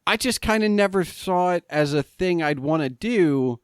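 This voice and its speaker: A man hosting a podcast, talking casually in a rambling, halting way with many restarts. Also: high voice, animated, smooth, no echo, studio quality. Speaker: podcast host. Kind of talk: casual interview conversation.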